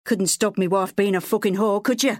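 Woman doing a drunk, bitter father yelling. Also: fairly low pitch, normal speed, dry recording, studio quality, natural voice. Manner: yelling, slurring, coarse. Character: drunk, bitter, stooped working-class man, father.

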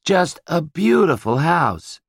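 A man voicing a brash, boastful veteran, loud and amazed at himself. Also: a little high, big pitch swings, slow, breathy edge, dry recording, studio quality, voice pushed up, full character voice. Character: brash, boastful American male Vietnam veteran.

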